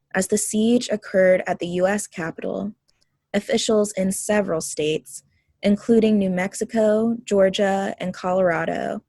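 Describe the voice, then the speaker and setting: A woman reading an essay aloud at a group reading, in a measured, deliberate way. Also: mid-range voice, a little mellow, no echo.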